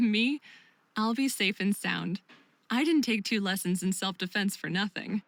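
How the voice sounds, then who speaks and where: A woman's voice: mid-range voice, unhurried, no echo, natural voice. A woman playing a caring, protective young best friend in a visual novel game, earnest and emotive. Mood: reassuring.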